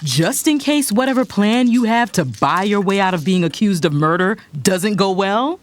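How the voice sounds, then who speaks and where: A young actress playing a sharp, bossy adult daughter and lawyer in an audio drama, with a stern, commanding manner. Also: female voice, mid-range voice, expressive, normal speed, close to an everyday voice. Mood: stern, exasperated. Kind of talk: audio drama acting with first-person narration. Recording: music behind.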